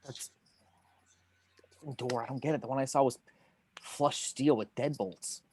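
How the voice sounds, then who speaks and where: A man playing an intense, brooding investigator with a husky, halting delivery in a drama table read. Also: medium pitch, darkish tone, slight background noise, close to an everyday voice. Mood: intense, puzzling. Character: Will Graham, intense, haunted male FBI investigator.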